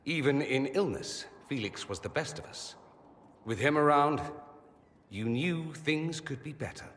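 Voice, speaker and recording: An actor playing an urbane, witty, rather vain mage in a fantasy game, speaking in a refined, wry manner. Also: male voice, on the high side, animated, measured pace, a bit bright. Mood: wistful, wry. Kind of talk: video game voice acting. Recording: long hall reverb, faint noise.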